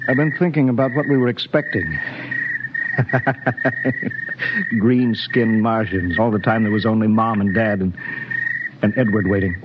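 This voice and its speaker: An actor playing a middle-aged rocket captain in a 1950s radio drama, speaking softly and dreamily, then calling for help in frantic radio messages. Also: male voice, fairly low pitch, fairly even intonation, unhurried, pauses often, very noisy, lightly acted. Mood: wistful. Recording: dull old 1950s radio broadcast with music.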